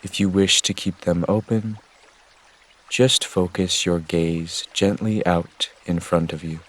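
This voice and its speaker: A man gently guiding a meditation with measured, soothing instructions. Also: sits low, steady tone. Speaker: meditation guide. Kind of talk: guided meditation narration.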